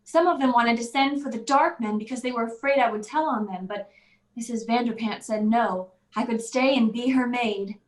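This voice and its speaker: Lady doing a scared innocent young servant girl for radio drama, high voice, dreamy and earnest, echoey room. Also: normal speed, natural voice. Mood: timid. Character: timid, naive, dreamy young servant woman held captive. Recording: roomy, echoing voice track.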